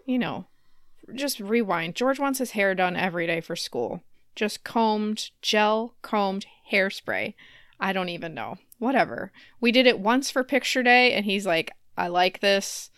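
A woman's voice: mid-range voice, expressive, a little slow, fairly bright tone, smooth, no echo, clean studio sound. A woman in her thirties chatting casually and telling stories with wry humor as a podcast co-host. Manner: wry, laughs often.

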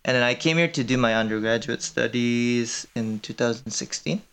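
A man's voice: medium pitch, normal speed, vocal fry, a bit bright, smooth. A man in his twenties from Indonesia, answering casually and tentatively as a student filmmaker in an interview. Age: 20s.

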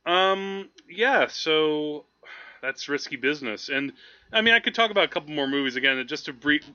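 A man's voice: a little high, animated, moderate pace, sharp tone, highs cut off. A man talking through films casually as a podcast host, in a rambling, conversational way. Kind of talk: casual film discussion.

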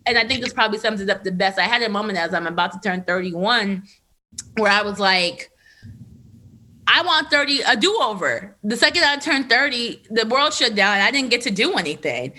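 A woman in her thirties, a podcast host, telling a candid personal story in a loose, animated way with lots of fillers. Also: medium pitch, moderate pace. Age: 30s. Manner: animated, filler-heavy, laughs often. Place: Los Angeles area.

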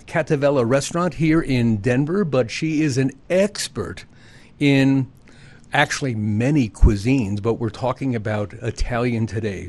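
A man's voice: medium pitch, a little mellow. A man hosting a podcast interview, introducing a guest with many hesitant uhs. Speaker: show host.

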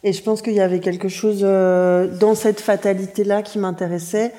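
A woman author speaks in a mellow, warm voice during an interview. She pauses on fillers while she works through her answer. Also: mid-range voice, level tone. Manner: hesitant, with fillers.

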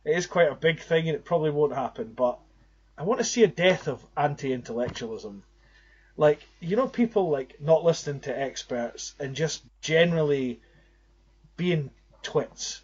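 A man's voice: on the high side, animated, moderate pace, darkish tone, slightly rough. Rambling young man on a muffled podcast. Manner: earnest, rambling. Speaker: podcast co-host. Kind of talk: casual podcast chat. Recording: slightly muffled lo-fi audio.

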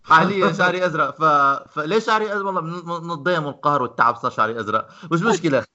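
A young man races along in a bright, forceful voice, pitched a little above most men. He jokes easily and slips English words into his talk, and the call audio sounds dull and lo-fi. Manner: quick, joking, mixes in English words. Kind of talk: casual group chat.

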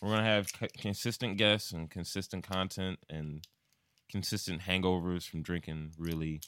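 A man's voice: low voice, fairly even intonation, normal speed, a bit bright, smooth, dry recording. A young man co-hosting a casual podcast, laid-back and joking, swearing freely. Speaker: podcast co-host.

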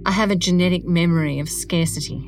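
A woman's voice: fairly low pitch, fairly even intonation, fast talker. A woman narrating a scripted audio guide, speaking conversationally and giving the listener direct instructions. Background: music.

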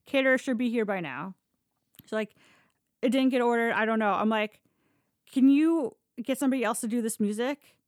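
A woman's voice: on the high side, normal speed, pauses often, dry recording, studio quality. A Canadian woman telling stories casually on a podcast, candid and sweary, often acting out dialogue as she goes. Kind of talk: casual conversational storytelling.

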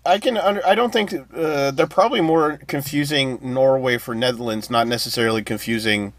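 A man's voice: medium pitch, fairly quick, no echo. A man in casual conversation, talking in a hedging, skeptical way. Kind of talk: casual chat.

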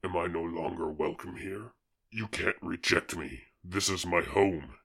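A man voicing an anguished, desperate swamp monster in a dramatic comic-recap reading, with a husky, gravelly voice. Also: deep voice, unhurried, fairly bright tone, voice pushed down, full character voice. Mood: pleading.

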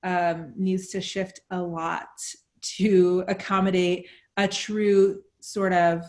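Flat-toned articulate woman. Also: fairly low pitch, slow pace. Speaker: panelist. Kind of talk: casual discussion.

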